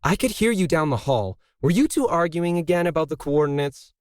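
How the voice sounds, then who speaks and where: A bossy fifty-something woman in a science fiction audiobook, acted out by a male narrator. The voice is pitched high, with an impatient, chiding manner and a close, dry sound. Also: expressive, a little fast, darkish tone, clear, resonant tone, no echo, natural voice. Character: brisk, scolding fifty-something woman, launch facility owner.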